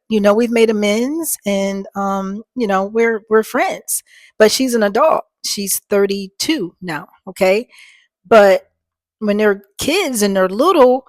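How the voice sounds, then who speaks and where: A woman in her fifties with a soft voice that doesn't carry, talking to her viewers in a rambling, earnest way through a small microphone, her voice sounding worn. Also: medium pitch, no room sound. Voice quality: soft voice that does not carry. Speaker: vlogger. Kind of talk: casual talk to viewers.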